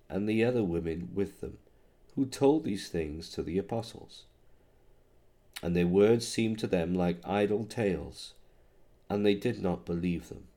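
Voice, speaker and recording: Hoarse pastor with a low, dark voice. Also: male voice. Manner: halting, many fillers, reads scripture slowly. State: hoarse from a cold. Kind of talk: sermon with scripture reading.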